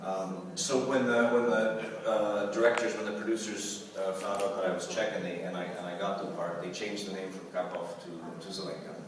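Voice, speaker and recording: Rambling, low-voiced man in an echoey hall. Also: flat delivery, brisk, few pauses, far from the mic. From Canada. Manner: rambling, repeats words, laughs often. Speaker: convention panel guest actor. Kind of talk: convention Q&A talk. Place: large convention hall with audience. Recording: echoey hall recorded from afar, crowd noise.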